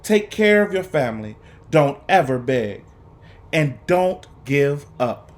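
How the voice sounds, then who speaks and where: Expressive man reading a storybook aloud. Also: on the high side, slow pace. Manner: earnest, encouraging. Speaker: guest reader for students.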